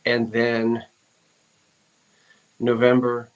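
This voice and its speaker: A man's voice: medium pitch, completely flat delivery, very slow, clean tone, rough recording. Chatty rambling man hosting a live stream. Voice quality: frequent fillers and self-corrections. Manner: chatty, rambling, reads out viewer comments. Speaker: live-stream auction host. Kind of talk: casual live-stream chat.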